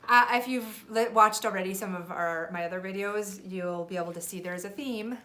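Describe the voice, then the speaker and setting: A woman reading a children's picture book aloud as a mother would, with expressive storybook narration. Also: medium pitch, normal speed.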